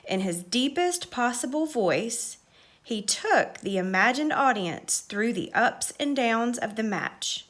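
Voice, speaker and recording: Woman doing a kids book read-aloud, pretty slow, highish voice, dramatic storytelling. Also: expressive, fairly bright tone. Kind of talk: expressive read-aloud narration.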